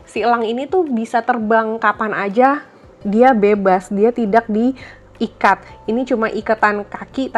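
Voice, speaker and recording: A woman reading tarot cards for viewers in a casual, reflective way, with many fillers and a slightly blocked nose from a cold. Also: on the high side. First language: Indonesian. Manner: gentle, reflective, with frequent fillers. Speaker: tarot reader.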